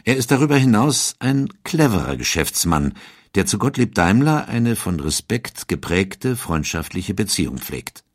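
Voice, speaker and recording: Male narrator for historical audio documentary; husky, expressive, emphatic storytelling, close dry sound. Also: fairly low pitch, a little slow, no room sound.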